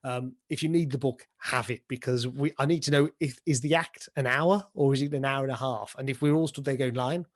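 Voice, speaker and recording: A man's voice: mid-range voice, fairly even intonation, brisk, few pauses. A British man speaking casually as an interviewed theatre performer and director, enthusiastic and full of illustrative examples. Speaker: pantomime dame and director being interviewed. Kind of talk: casual interview chat about stage craft.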